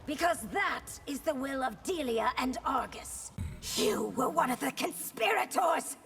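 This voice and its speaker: An actress playing a proud, stern empress in a fighting game, imperious and emphatic. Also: female voice, high voice, expressive, measured pace, gravelly, fairly bright tone, soft background music, heavily acted. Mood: regal, indignant. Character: proud regal empress, stern and unyielding ruler. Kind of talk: fighting game character dialogue.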